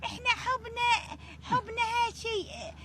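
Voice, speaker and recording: A woman's voice: very high-pitched, normal speed, heavy background noise. A woman voicing a lovestruck cartoon sweetheart with an Iraqi accent, exaggerated and declaiming in comic dialogue.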